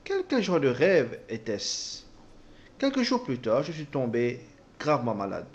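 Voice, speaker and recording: A man interpreting a personal testimony, speaking haltingly with repeated words and self-corrections. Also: mid-range voice, sing-song, lo-fi sound, slight background noise. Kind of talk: spoken translation of a personal testimony.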